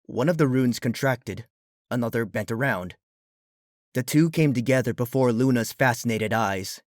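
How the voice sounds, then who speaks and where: A man's voice: mid-range voice, normal speed, dry recording, studio quality. A man reading a fan fiction story as a scripted narrator. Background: background music.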